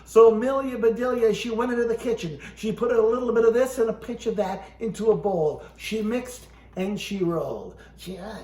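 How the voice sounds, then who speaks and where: A man's voice: sits high, moderate pace, hardly any pauses, mellow. A man hosting as a ventriloquist, reading a children's storybook aloud with comic banter. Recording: echoey room, faint background noise.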